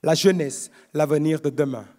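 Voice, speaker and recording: Male slam poet, high voice, measured solemn delivery, lines trailing low. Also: mellow. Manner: solemn, measured declamation of aphorisms, dropping low at line ends. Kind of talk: live slam performance. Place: live competition stage.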